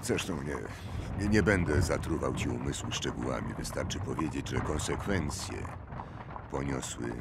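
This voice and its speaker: A man's voice: rumbling low, normal speed, vocal fry. An actor in an audio drama playing an old, wealthy businessman, genial on the surface but burdened by loss, speaking hesitantly and ponderously. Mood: grave, wistful. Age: older. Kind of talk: radio drama acting. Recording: loud vehicle noise and ambience behind.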